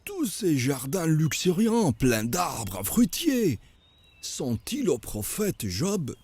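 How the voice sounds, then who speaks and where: An actor playing an expressive, curious storyteller in a children's religious cartoon. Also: male voice, fairly high pitch, takes it slowly, breathy edge, heavily acted. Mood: curious, envious. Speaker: narrator and questioner.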